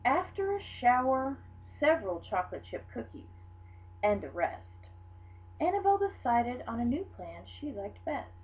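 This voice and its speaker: A woman reading a children's picture book aloud in an expressive, dramatic storytelling style. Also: on the high side, normal speed. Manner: animated, dramatic storytelling delivery. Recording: dull lo-fi sound with hum.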